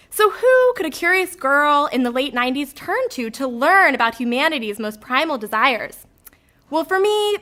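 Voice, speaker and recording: A woman's voice: high-pitched, bright, forceful tone. A woman in her thirties reading a comic confessional story in a candid, wry way, acting out the lines she quotes.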